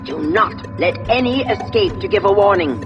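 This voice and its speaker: An actress playing a resolute warship intelligence officer in a sci-fi game, measured and military in delivery. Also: female voice, mid-range voice, lively intonation, dull audio, close to an everyday voice. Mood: commanding. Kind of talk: scripted sci-fi game dialogue. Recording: loud music under the voice.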